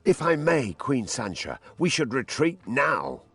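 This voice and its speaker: A commanding warrior with a rough, husky, highly animated voice. He is resolute and courteous, recorded dry in dramatic game style. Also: a little slow, dry recording, faint music behind, full character voice. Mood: firm. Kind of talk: dramatic video game voice acting.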